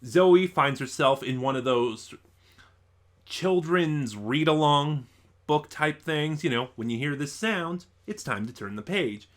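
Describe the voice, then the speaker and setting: A man's voice: a little high, expressive, takes it slowly. A man giving a casual, unscripted review as an online reviewer, rambling and thinking aloud in a stop-and-start way.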